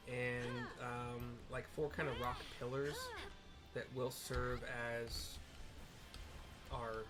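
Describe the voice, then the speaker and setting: A man narrating a video game live, describing the scene informally for viewers. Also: medium pitch. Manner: descriptive, informal, reads dialogue aloud.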